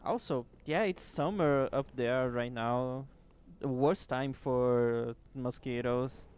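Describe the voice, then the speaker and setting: A man's voice: mid-range voice, slow, darkish tone, clean tone. A man streaming a game late at night, chatting casually with viewers, with distinctive rolled R's and casual swearing. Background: faint background music.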